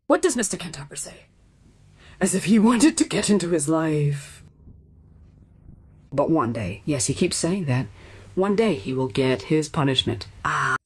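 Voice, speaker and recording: Husky, defensive housekeeper. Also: female voice, low voice, a little mellow, heavily acted. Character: defensive housekeeper, agitated, loyal to her resentful brother. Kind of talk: radio play acting.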